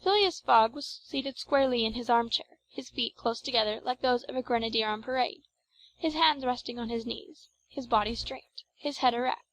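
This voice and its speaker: A woman reading scripted literary narration as an audiobook narrator, in a measured, formal storytelling manner. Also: on the high side, no room sound, poor audio.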